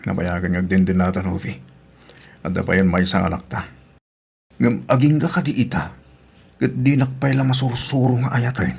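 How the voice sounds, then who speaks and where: A man's voice: low voice, fairly even intonation, normal speed, vocal fry, long pauses, darkish tone, slightly rough, heavily acted. A man playing a hurt, resentful husband and father in an Ilocano radio drama. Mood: hurt, suspicious.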